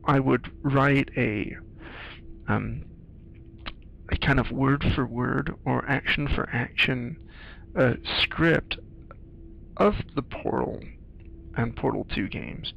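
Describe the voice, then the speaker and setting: A man giving casual playthrough commentary, explaining things in a halting, thoughtful way with game audio behind him. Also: mid-range voice, vocal fry, a bit bright, muffled recording. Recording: faint noise, game sounds behind. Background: video game audio.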